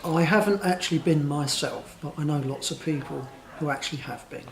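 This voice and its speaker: Guy in his 60s answering interview qs, kinda high voice, echoey room with faint background hum. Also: vocal fry. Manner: measured, hesitant with fillers.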